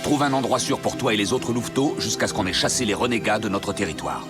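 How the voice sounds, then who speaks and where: A man voicing a grave, protective father wolf who leads his pack in an animated cartoon, commanding in manner. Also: fairly low pitch, fast, natural voice. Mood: urgent, commanding. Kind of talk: animated cartoon dub acting. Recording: loud music under the voice.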